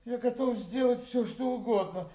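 High-pitched, blustering sea captain. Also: male voice, moderate pace, warm tone. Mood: pleading remorse. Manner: blustering, theatrical, emphatic. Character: volatile, spiteful sea captain, blustering and sneering. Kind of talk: audio drama acting.

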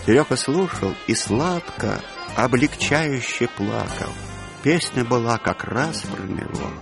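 A man narrating a literary audio play with expressive storytelling. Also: medium pitch, takes it slowly, creaky, music in the background.

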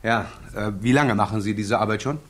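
Formal, methodical examining counsel. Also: male voice, fairly low pitch, fairly quick, fairly bright tone. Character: methodical, formal male counsel questioning a witness. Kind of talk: radio play acting, hearing interrogation.

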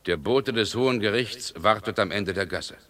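A deep-toned man as a humble condemned prisoner in a 1960s radio drama. He is solemn and resigned, with formal, carefully weighted phrases of surrender. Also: pushed and bright. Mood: devout surrender. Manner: solemn, measured formal diction. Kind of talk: 1960s German radio play acting.

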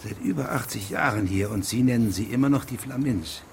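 An actor playing a stern, insistent judge who questions formally and with authority in a radio play. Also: male voice, medium pitch, fairly quick, gravelly, slight background noise, natural voice. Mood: probing. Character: stern, insistent male judge investigating a case. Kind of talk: German radio drama dialogue.

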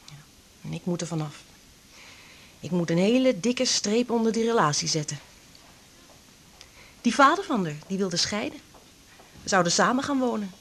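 A woman's voice: medium pitch, animated, normal speed, long pauses, slightly rough. A woman acting a troubled, resigned character in a radio drama, talking in a hesitant, subdued way.

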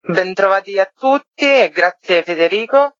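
A woman's voice: medium pitch, lively intonation, moderate pace, no echo. A woman explaining an art project as an interview guest and co-director. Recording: dull, lo-fi remote connection.

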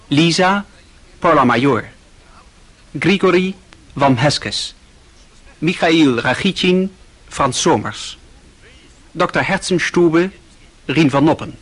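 A man speaking as a radio announcer, reading scripted credits in a formal, measured way. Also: on the high side, fairly bright tone, husky.

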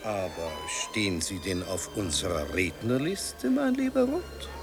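An actor in a German radio play as a condescending Austrian exile dignitary, suave and dryly dismissive. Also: male voice, sits low, huge pitch swings, measured pace, music in the background, exaggerated voice.

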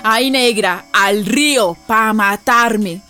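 An actress playing an earnest, urgent Colombian activist confiding in a friend, insistent and familiar, in a radio drama. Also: female voice, medium pitch, takes it slowly, bright, forceful tone, faint music behind. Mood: shaken, urgent.

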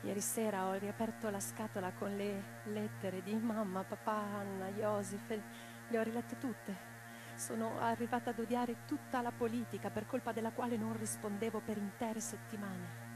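A woman's voice: mid-range voice, flat delivery, moderate pace, background noise, close to an everyday voice. An actress playing an impassioned, reflective female socialist revolutionary in a theatrical radio drama monologue, with a dramatic, declamatory delivery. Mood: grieving, subdued. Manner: declamatory, dramatic, swinging from hushed to forceful.

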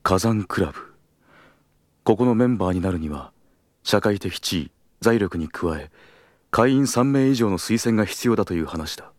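A man's voice: fairly low pitch, animated, moderate pace, no echo, studio quality, lightly acted. An actor playing an earnest, principled travel agency employee in a Japanese audio drama, formal and deferential with others and blunt in his own thoughts. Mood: wary, startled. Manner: formal and deferential aloud, blunt in inner monologue.

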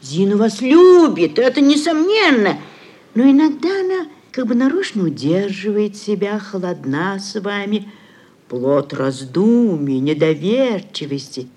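A woman's voice: mid-range voice, very animated, measured pace, a little mellow, husky. An actress playing a scheming, sugary middle-aged society mother in a classic radio play, cajoling and declaiming theatrically. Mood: gushing, coaxing. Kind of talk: classic Russian literary radio play acting.

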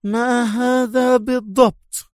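A man voicing a tough, sharp-tongued woman agent in an audiobook, speaking curtly and with mockery. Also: high voice, sing-song, moderate pace, dry recording, clean studio sound, pitched above the performer's own voice. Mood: defiant. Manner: curt, sharp, mocking. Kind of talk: audiobook character voice.

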